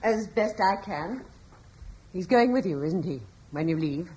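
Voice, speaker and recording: A soft, breathy caring wife. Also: female voice, on the low side, animated, measured pace. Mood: gentle. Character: gentle caring wife, tending a wounded man. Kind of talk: radio drama acting.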